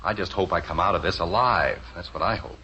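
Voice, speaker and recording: A man's voice: low-pitched, a little fast, bright, forceful tone. An actor playing a harried, wry middle-aged American father in a 1950s radio sitcom. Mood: exasperated, wry. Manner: flustered, sardonic. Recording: dull, hissy old radio recording.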